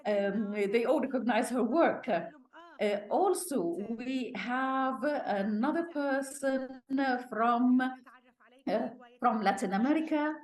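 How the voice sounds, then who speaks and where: A woman hosting an online meeting and introducing speakers, talking haltingly with drawn-out pauses. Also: on the high side. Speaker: host, moderator. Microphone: video call.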